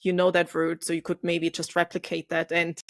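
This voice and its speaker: A young woman from Austria hosts the podcast, speaking English with German as her first language. Her voice sits a little low for a woman, and she asks her questions in a thoughtful, curious way. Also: monotone, brisk. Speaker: podcast host. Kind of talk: conversational interview.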